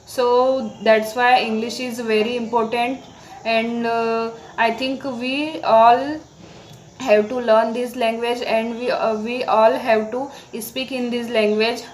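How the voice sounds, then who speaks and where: Slow, halting Indian woman, fairly even pitch. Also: poor audio. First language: Marathi. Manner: halting, simple learner's English. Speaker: English-practice vlogger. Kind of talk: informal talk to viewers. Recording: background noise.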